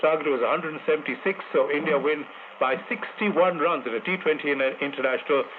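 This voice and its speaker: A man's voice: a little high, fast. Guy doing live cricket commentary, wry and chatty, tinny over-the-air radio with hiss behind. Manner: chatty, wry, laughs at dropped catches, rises in excitement on big hits.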